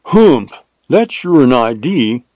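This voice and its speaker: A man voicing a plainspoken, excitable Texan cowboy with a leisurely drawl in an audiobook. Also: mid-range voice, slow, no echo. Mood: doubtful. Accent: Texas. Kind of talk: audiobook character dialogue.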